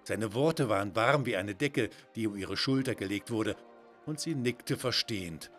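A man's voice: fairly low pitch, expressive, moderate pace, fairly bright tone. Male children's storyteller over music. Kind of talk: scripted children's story narration.

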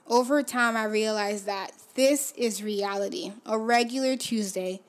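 Young woman from Haiti, native Creole speaker, reflective podcast storyteller, mid-pitched voice with soft trailing endings. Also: measured pace. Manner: reflective, often drops to a soft low trail at phrase ends. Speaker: podcast host. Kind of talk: personal storytelling.

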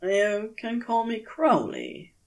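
A woman's voice: fairly high pitch, wildly swooping pitch, slow pace, vocal fry, voice pushed up, full character voice. A woman voicing a curious, teasing male fairy in a story reading, with a questioning drawl. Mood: sly, teasing.

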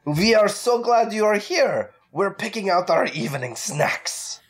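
A woman's voice: mid-range voice, very animated, measured pace. A woman voicing a polite mother pepper shaker in a kitchen family.

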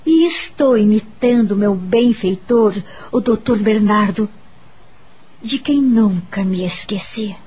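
An actress playing a mature, confident, happy woman telling her life story in a measured, reflective way in a Brazilian radio soap opera. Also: mid-range voice, lively intonation, mellow. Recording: music behind the voice.

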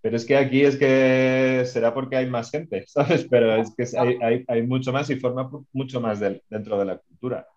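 A man's voice: mid-range voice, steady tone, normal speed, hardly any pauses, darkish tone. A man with a European Spanish accent chatting casually as a film panelist, eagerly repeating words and restarting, in slightly lo-fi sound. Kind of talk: casual chat.

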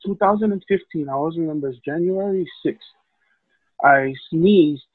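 A man's voice: on the high side, normal speed, slightly rough, no room sound. A man from Hawaii telling his life story as a podcast guest, rambling with many fillers and restarts. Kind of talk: casual storytelling. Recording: tinny phone-like call line, dry.

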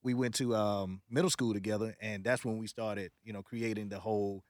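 A man, a singer, chatting as a studio interview guest in a relaxed, slangy way. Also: fairly low pitch, a little fast, no echo. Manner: relaxed slangy talk, tags "man" and "bro". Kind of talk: casual interview. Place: podcast studio.

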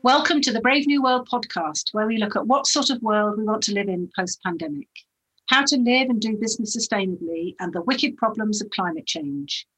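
A woman's voice: medium pitch, moderate pace, a bit bright, rough recording. A woman hosting a podcast interview, asking questions in an enthusiastic, chatty way. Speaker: podcast host.

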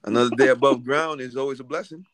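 A man's voice: mid-range voice, fairly even intonation, quick pace, crowd noise. A Haitian-born man hosting a podcast, chatting casually with his guest in an affirming, friendly way. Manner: warm, affirming, calls guest darling. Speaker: podcast host. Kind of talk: casual interview chat.

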